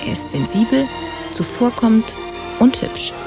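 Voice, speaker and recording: A woman's voice: on the high side, lively intonation, a little slow, music in the background. A woman playing a romantic, demanding, impulsive mistress in a German radio drama. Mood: petulant. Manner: impulsive, petulant.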